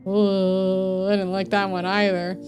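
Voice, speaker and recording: A woman streams a horror game and comments on it casually as she plays. She tends to stumble and repeat phrases when something startles her. Music plays clearly behind her voice. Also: mid-range voice, completely flat delivery, takes it slowly. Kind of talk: casual game commentary mixed with reading game text aloud.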